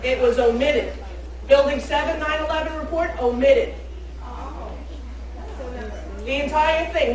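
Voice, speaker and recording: A woman's voice: sits high, a little mellow. A woman arguing with passion in emphatic, clipped phrases. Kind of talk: impassioned argument. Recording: very echoey, distant mic, audible crowd.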